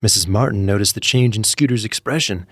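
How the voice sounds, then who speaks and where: A man narrating a scripted comic story in an animated, wry way. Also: lowish voice, fairly quick, no echo, clean studio sound. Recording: music behind the voice. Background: background music.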